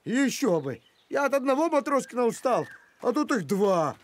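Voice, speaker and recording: Cartoon performer as easygoing talking dog, plain-spoken and teasing, pitch leaping about, noisy effects behind. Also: very animated, normal speed, close to an everyday voice. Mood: weary. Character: cheeky, easygoing talking dog, a simple farm hand. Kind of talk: animated cartoon voice acting.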